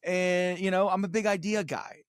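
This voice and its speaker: A man's voice: high-pitched, fairly even intonation, normal speed, clean tone, no room sound, clean studio sound. A man hosting a podcast in casual chat, rambling, self-deprecating and sweary. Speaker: podcast host.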